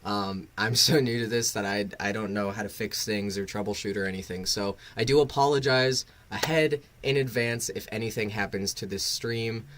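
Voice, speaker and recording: A man's voice: on the low side, normal speed, hardly any pauses, fairly bright tone, a little hiss or hum. A man hosting a casual live reaction stream, rambling and swearing, bluntly critical with a sarcastic, self-deprecating streak. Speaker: livestream host and reviewer.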